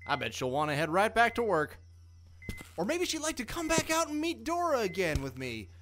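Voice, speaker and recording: A man's voice: high voice, huge pitch swings, normal speed. A man playing a simple, loyal, worried working-class man in an audio drama, plain-spoken and folksy. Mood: eager, hopeful.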